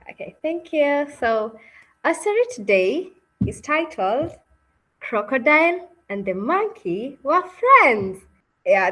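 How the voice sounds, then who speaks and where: A woman with a fairly high voice and a very unhurried pace hosts a read-aloud over a video call. She is encouraging and moves between guiding the group and narrating a children's story. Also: fairly bright tone, low-quality recording. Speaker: webinar host and story narrator. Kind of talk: interactive read-aloud session, hosting and narrating.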